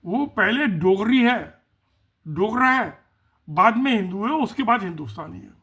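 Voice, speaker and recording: A man's voice: sits high, expressive, a little slow, pushed and bright, husky. A man giving casual spoken political commentary, musing and repeating himself, asking rhetorical questions.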